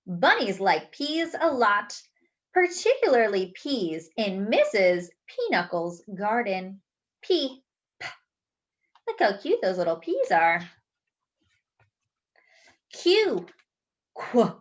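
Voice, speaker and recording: A woman reading a picture book aloud to young children, enunciating deliberately and adding playful asides as a story-time host. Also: medium pitch, big pitch swings, muffled recording.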